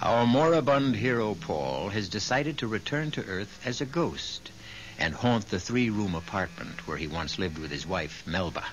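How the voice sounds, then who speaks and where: A man narrating and hosting a 1970s radio drama in a scripted, theatrical, deliberate way. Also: on the low side, expressive, a little hiss or hum. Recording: muffled old radio broadcast. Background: music.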